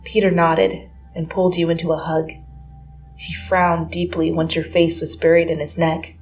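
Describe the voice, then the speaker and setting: Lowish-voiced woman narrating a story. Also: level tone, normal speed, a little mellow, rough recording. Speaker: amateur story reader. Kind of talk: scripted narration, dramatic fan fiction reading. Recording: music behind the voice.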